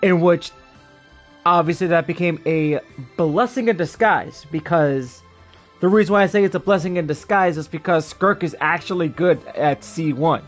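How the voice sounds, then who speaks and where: A man's voice: on the high side, normal speed. A man chatting casually on a game livestream, rambling and repeating his phrases as he goes. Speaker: game streamer. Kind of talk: casual livestream chat over gameplay. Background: game music.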